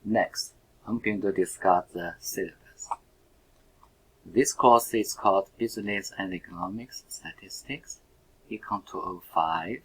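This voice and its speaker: A middle-aged man who is not a native English speaker, lecturing to students in halting, simple phrases and often checking with an okay. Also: low-pitched, slight background noise, rough recording. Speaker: university lecturer.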